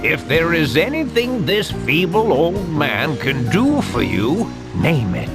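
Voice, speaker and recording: A man's voice: on the high side, sing-song, slow, vocal fry, heavily acted. A man voicing a kindly, teasing, ancient sage with old-fashioned, grandfatherly speech in a video game. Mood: teasing, fond. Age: older. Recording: game music behind voice. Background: music.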